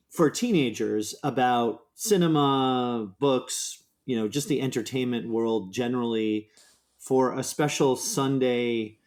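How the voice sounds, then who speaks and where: American man telling his story, medium pitch. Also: darkish tone. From Connecticut, United States. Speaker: author and journalist, interview guest. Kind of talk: conversational personal storytelling.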